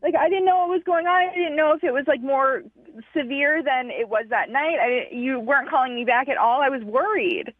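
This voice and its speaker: A woman's voice: sits high, a little fast, no room sound. A woman calling into a radio show, speaking casually but in a blunt, indignant way. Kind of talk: casual phone conversation. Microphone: telephone. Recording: dull lo-fi phone line.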